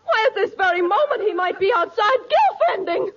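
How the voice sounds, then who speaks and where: A woman's voice: extremely high pitch, sing-song, moderate pace, a bit bright, full character voice. A woman playing a scolding, mock-serious character in a 1940s radio comedy. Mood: reproachful. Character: scolding woman talking earnest nonsense. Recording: dull 1940s radio, studio audience.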